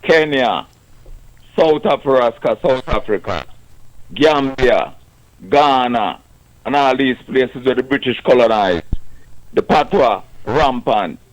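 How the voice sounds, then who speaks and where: A man with a Jamaican accent calling a radio show, chatting in an animated way in patois. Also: mid-range voice, slow pace, long pauses, highs cut off. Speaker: radio caller.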